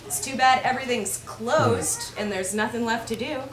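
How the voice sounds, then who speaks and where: A woman's voice: fairly high pitch, normal speed. A woman reading light, humorous verse aloud to an audience as one of the readers at a live event. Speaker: reader at a holiday readers theater. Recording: echoey room with clear background noise.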